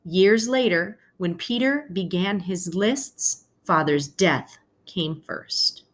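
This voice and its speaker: A woman's voice: lowish voice, takes it slowly. A woman teacher speaking to students as she reads a children's picture book aloud, explaining things informally and phrasing carefully.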